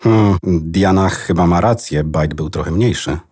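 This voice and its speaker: A woman voicing an earnest, excitable computer data keeper in a children's audio drama, expressive in manner. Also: extremely low pitch, measured pace, slightly rough, heavily acted. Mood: earnest, calm.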